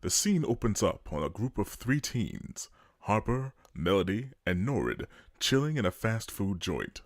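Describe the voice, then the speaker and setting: A man's voice: fairly low pitch, very animated, a little slow. A man narrating scene changes for an amateur script read in scripted narration.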